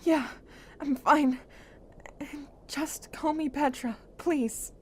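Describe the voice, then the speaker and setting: A woman's voice: sits high, very slow, darkish tone, slight background noise. A woman playing a guarded, guilt-ridden young survivor in an amateur zombie apocalypse audio drama. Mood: subdued, guarded.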